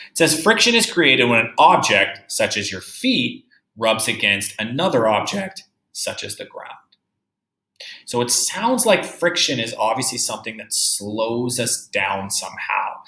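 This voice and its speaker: A man teaching young children through a read-aloud, reading deliberately and musing aloud with questions for his pupils. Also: fairly low pitch, very animated, bright, forceful tone. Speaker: third-grade teacher. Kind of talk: read-aloud lesson with think-aloud commentary. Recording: roomy, echoey indoor sound.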